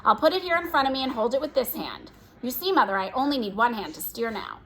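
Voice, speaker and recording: A woman's voice: on the high side, very animated, fast, bright, forceful tone, a little hiss or hum, full character voice. A woman voicing a polite, eager young boy in a storybook. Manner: gracious, eager.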